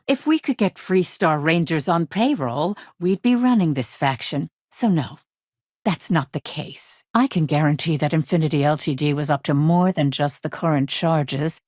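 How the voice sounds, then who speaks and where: A shrewd female corporate lawyer in a video game. Her voice sits fairly low and is recorded dry and close. She talks bluntly and to the point, with a businesslike, no-nonsense edge. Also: no echo. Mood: cutting, impatient, then direct, businesslike. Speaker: head of a corporate legal department.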